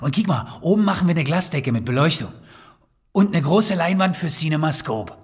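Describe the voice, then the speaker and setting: A man's voice: on the high side, animated, a little fast, natural voice. An actor playing a proud, affectionate man with a Berlin accent in a German radio play. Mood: proud, tender.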